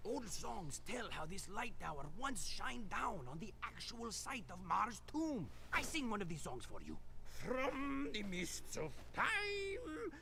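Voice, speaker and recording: A man voicing a cheeky, showy monkey-bird interpreter creature in a video game cutscene, with a squawky, creaky voice. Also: sits high, sing-song, slow pace, a crowd in the background, exaggerated voice. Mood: grandiose. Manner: showy, grandiose. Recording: noisy game clip with ambience.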